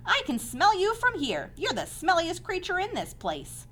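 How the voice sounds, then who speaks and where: A woman's voice: extremely high pitch, wildly swooping pitch, normal speed, fairly bright tone, a little hiss or hum, voice pushed up, full character voice. A woman voicing a rude, haughty young lamb in a storybook, with a blunt, disdainful manner. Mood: scornful.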